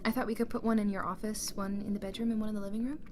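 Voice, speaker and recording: A woman playing a gentle, tired young mother and caring wife in a naturalistic audio drama. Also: medium pitch, flat delivery, very fast, a little hiss or hum, close to an everyday voice. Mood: relaxed, chatty.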